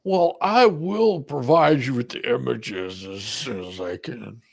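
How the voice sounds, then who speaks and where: A man playing a folksy, blustering, evasive attorney under questioning in a comic deposition reading, stammering and hedging in a raspy, gravelly voice. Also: medium pitch, takes it slowly. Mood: defensive, hedging.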